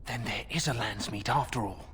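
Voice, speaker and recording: A man's voice: lowish voice, moderate pace, sharp tone, a little hiss or hum. An actor playing a proud young nobleman in a video game, speaking in formal, courtly phrases. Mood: urgent, resolute. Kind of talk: video game cutscene acting. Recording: long hall reverb.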